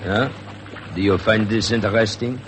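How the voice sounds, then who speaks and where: Male actor as first mate, dry humor, formal phrasing, fairly low pitch, vintage radio drama, noisy recording. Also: moderate pace, lightly acted. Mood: wry, relaxed. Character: easygoing, wry French first mate on a boat. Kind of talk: 1950s radio drama acting.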